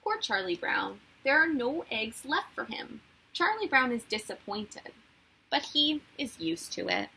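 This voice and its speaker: A woman reading a children's storybook aloud as a teacher would, with expressive narration. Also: high voice, measured pace, vocal fry, breathy edge, rough recording.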